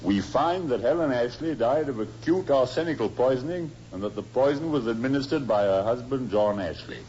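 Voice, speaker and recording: An actor playing a measured, sceptical detective inspector in an old-time radio drama. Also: male voice, mid-range voice, expressive, a little mellow, lightly acted. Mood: dry, sceptical. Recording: muffled, noisy old radio recording.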